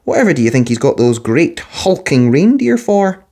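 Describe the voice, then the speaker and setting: A man voicing a patient penguin father in a children's storybook, speaking firmly and calmly with gentle teasing. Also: on the high side, moderate pace, voice pushed up. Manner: firm, calm, gently teasing.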